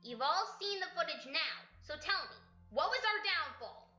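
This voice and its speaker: A woman's voice: very high-pitched, animated, normal speed, bright, forceful tone, exaggerated voice. A woman playing a commanding, menacing female pegasus boss in an audio drama, imperious and interrogating, in a roomy echo. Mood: stern, scornful. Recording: roomy echo with faint music.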